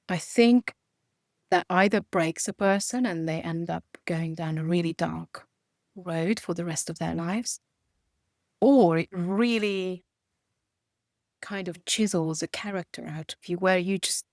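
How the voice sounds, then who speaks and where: A woman's voice: lowish voice, dry recording, studio quality. A woman in her forties from Romania and Hungary, a native Hungarian speaker, talking animatedly as an interview guest, often restarting her sentences. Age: 40s. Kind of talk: conversational interview.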